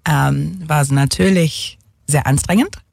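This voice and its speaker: A woman from England, speaking German as a second language with an English accent, talks haltingly in a casual interview, searching for words and slipping in English ones. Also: sits low, creaky, darkish tone, slightly rough, no room sound, studio quality. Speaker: guest, single mother.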